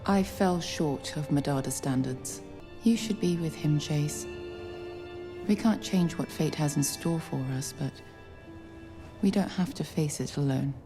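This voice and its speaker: An actress playing a poised, ambitious noblewoman councillor in an animated fantasy drama, speaking in a measured, formal, silky and calculating way. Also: low voice. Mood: soft, earnest. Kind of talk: animated drama series acting. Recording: clips with music behind the voice.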